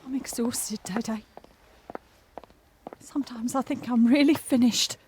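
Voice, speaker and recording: A woman's voice: fairly high pitch, fairly even intonation, measured pace, darkish tone, slight background noise, natural voice. A woman playing a bawdy, world-weary, foul-mouthed singer with a volatile temper in an audio drama. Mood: weary, despairing. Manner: blunt, swearing, dramatic.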